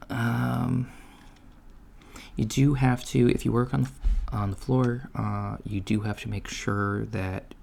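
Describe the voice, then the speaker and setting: A soft-spoken man playing a polite job interviewer in an improvised ASMR roleplay, talking in a halting, hesitant way. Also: sits low, vocal fry. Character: polite, businesslike alien-store manager interviewing a job applicant. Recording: lo-fi with steady background noise. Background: computer keyboard typing.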